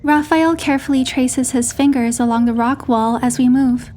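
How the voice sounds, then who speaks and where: Woman as high-voiced young heroine narrating. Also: steady tone, normal speed, darkish tone, faint music behind, natural voice. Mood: gentle storytelling. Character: young female heroine narrating her romantic trip. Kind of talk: scripted first-person story narration.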